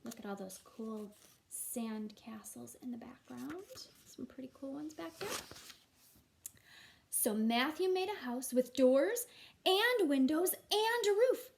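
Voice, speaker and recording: Female teacher for children's read-aloud: high, very animated voice, expressive narration and chatty encouraging asides. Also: slow, vocal fry, slight background noise. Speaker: teacher reading aloud to class.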